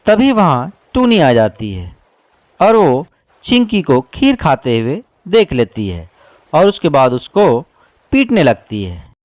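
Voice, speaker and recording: Slow, very animated male storyteller. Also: on the high side. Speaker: narrator. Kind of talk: scripted storybook narration.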